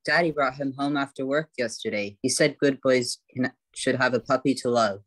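An adult plays a young grandson in a radio drama. He is eager and obedient, polite to his elders, with a mid-pitched voice. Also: normal speed, lightly acted, poor audio. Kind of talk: amateur radio play acting.

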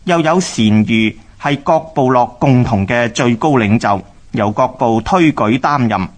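A male narrator of a historical radio drama speaks Hong Kong Cantonese at a medium pitch. He reads scripted history in a measured, explanatory way.

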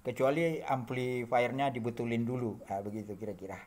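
A man narrating an informal hands-on demo, halting and muttering to himself as he works, recorded indoors on a handheld phone. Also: mid-range voice, steady tone, darkish tone. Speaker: hobbyist electronics builder demonstrating circuits. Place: indoor home workbench.

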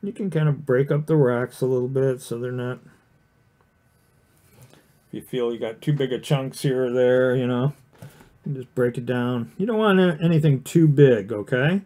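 Man, mellow voice, watercolor teacher, casual livestream, chatty, rambling, fillers and restarts. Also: mid-range voice, normal speed. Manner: talks while painting, plain and encouraging. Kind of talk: casual livestream painting demonstration. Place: indoor studio with overhead camera on the painting desk.

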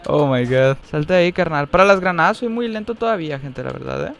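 Excitable high-voiced Mexican young man. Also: fast, creaky. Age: young adult. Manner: excitable, chatty, slangy, frequent high-pitched outbursts. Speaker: gaming streamer. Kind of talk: live gameplay commentary. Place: indoor room at a desk, facecam. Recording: game music underneath. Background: game music.

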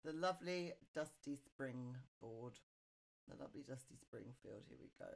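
A middle-aged woman with a low voice, casually chatting to viewers on her live request stream and muttering quietly to herself, close on a studio mic. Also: lively intonation, normal speed, vocal fry. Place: home music room, indoors. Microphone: studio condenser mic on boom arm, wearing headphones.

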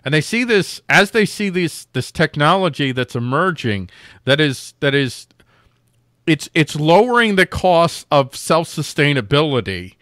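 A man's voice: fairly high pitch, sing-song, a little slow, fairly bright tone, no room sound, clean studio sound. A man hosting a political talk show over a video call, making his case earnestly and restarting phrases as he thinks aloud. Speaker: show host covering news stories.

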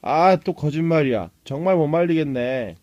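Man acting out jeering schoolyard bully, pitched up. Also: lively intonation, darkish tone, highs cut off, close to an everyday voice. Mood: scornful. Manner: jeering, drawn-out taunts. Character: mocking, bullying schoolchildren in a storybook.

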